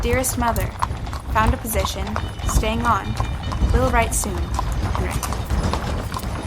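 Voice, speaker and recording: A woman's voice: on the high side, very noisy, lightly acted. An actress playing an anxious young woman who narrates and pleads for answers in an audio drama. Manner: tense, hesitant, reflective in narration. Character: anxious young woman trapped in a man's body. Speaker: narrator and lead.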